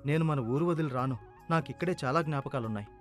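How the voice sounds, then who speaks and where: A man's voice: mid-range voice, fast talker, soft background music, natural voice. A man playing a humble, loyal younger brother who farms in a village moral story, speaking plainly and earnestly. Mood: quietly firm.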